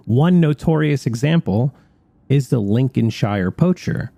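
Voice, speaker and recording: A man's voice: medium pitch, lively intonation, unhurried, vocal fry, mellow, no room sound. A man hosting a radio show in a studio, moving between chat and part-scripted narration.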